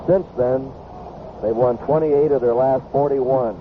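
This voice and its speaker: A man's voice: medium pitch, steady tone, measured pace. A man with an American accent calls a baseball game live as a radio play-by-play announcer. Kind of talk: live radio sports commentary. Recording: noisy 1970s radio baseball broadcast, phone-like. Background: stadium crowd ambience and noise.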